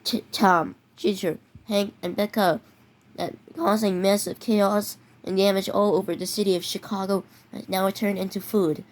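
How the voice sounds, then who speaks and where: A voice in a fan-made emergency alert, reading warnings haltingly, with frequent restarts and stumbled words. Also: female voice, mid-range voice, fairly even intonation, rough recording. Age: teenager. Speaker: mock emergency alert announcer. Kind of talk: improvised fake emergency broadcast.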